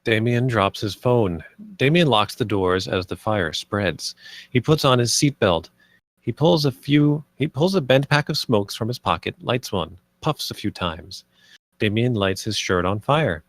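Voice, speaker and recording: A man's voice: lowish voice, animated, unhurried, no room sound. A man reading stage directions as narrator at a screenplay table read.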